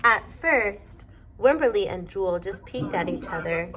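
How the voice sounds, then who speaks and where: A woman teacher reading a storybook aloud to young children, pausing to ask them simple questions. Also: medium pitch, expressive, a loud crowd.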